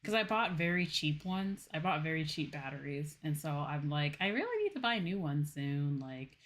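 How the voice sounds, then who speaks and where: A woman's voice: low-pitched, sing-song, moderate pace. A woman livestream host chatting casually to her viewers in an informal, gushing way. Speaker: game livestream host, VTuber.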